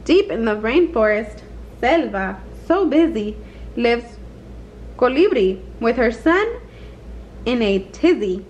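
A woman reading a children's picture book aloud in an expressive, sing-song way. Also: a little high, slow, pauses often, slight background noise, rough recording. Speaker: storybook reader.

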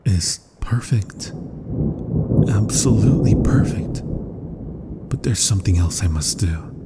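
Obsessed mad scientist, low-pitched, slow dramatic pacing, grandiose, half-whispering, gothic horror ASMR roleplay. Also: male voice. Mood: rapturous anticipation. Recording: thunderstorm and rain noise. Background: rain and thunder ambience.

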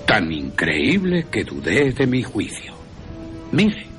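Man acting out a slow, grave vampire-hunting professor. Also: on the low side, huge pitch swings, fairly bright tone, faint music behind, pitched above the performer's own voice, heavily acted. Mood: intrigued, leading. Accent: Castilian Spanish. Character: learned, patient, resolute old vampire-hunting professor.

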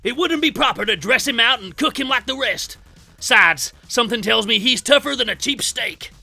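A man's voice: extremely high pitch, expressive, moderate pace, vocal fry, pushed and bright, no room sound, faint music behind, voice pushed up, heavily acted. A man voicing a grumbling, folksy killer cook from a horror comic, in an indignant drawl. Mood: earnest, protesting.

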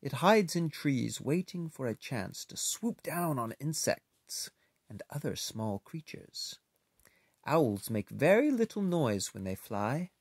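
Male children's book reader, slow pace, animated mid-pitched delivery, close dry sound. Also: breathy edge, no room sound. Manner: expressive, unhurried, with playful asides. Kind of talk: children's book read-aloud with casual asides.

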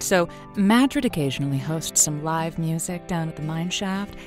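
A woman's voice: fairly low pitch, a little mellow, lightly acted. An actress playing an earnest, folksy woman who hosts a radio dating-advice show, chatty and playful. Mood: folksy showmanship. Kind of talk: audio drama acting as late-night radio host.

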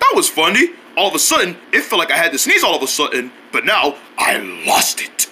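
Man doing a high, bright, clumsy cartoon goofball. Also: wildly swooping pitch, brisk, slight background noise, full character voice. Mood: flustered. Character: clumsy wrinkled cartoon fellow, sneezy, excitable and naive.

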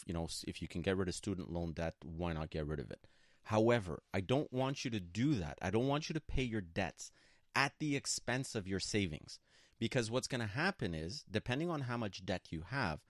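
Man, low voice, radio advice host, assertive, emphatic, conversational, dry close studio sound. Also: animated, fairly quick, no room sound. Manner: emphatic, assertive, repeats points for stress. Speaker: radio show co-host and broker.

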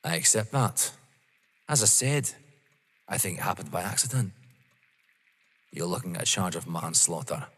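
An actor playing a methodical, smugly polite police inspector in an audio drama, formal and insinuating. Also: male voice, sits low, expressive, moderate pace, creaky, long pauses, a bit bright, breathy edge, heavily acted. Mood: accusing. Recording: long hall-like reverb.

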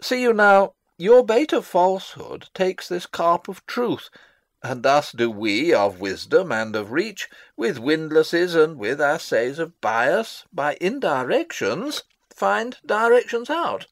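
A man's voice: fairly high pitch, sing-song, slow pace, no room sound, heavily acted. An actor playing a fussy, long-winded old father and royal counsellor in a theatrical Shakespeare reading, pompous and rambling. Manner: rambling, pompous, theatrical, losing his thread. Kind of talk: dramatic reading of a Shakespeare play.

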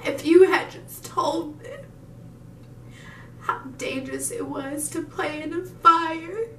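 A woman playing a grieving, unhinged mother in a dramatic monologue, halting and emphatic as she confronts her psychiatrist. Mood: hushed, bitter. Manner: halting, emphatic, swinging between hushed and wailing. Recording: roomy, distant mic, noisy with rumble.

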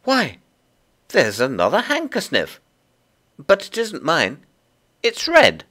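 Storybook little girl voiced by a man: high-pitched, animated, curious, eager, full of questions. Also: slow, exaggerated voice. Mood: puzzled. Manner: wide-eyed, questioning, breathlessly eager. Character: curious, frightened-then-delighted little girl. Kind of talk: storybook character voice.

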